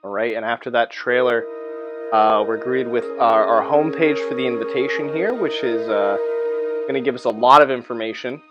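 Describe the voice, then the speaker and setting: A man's voice: medium pitch, moderate pace, highs cut off, music in the background. A man hosting a tabletop gaming channel, presenting to viewers in a playfully theatrical way. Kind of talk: casual presentation to viewers, with some read-out game text.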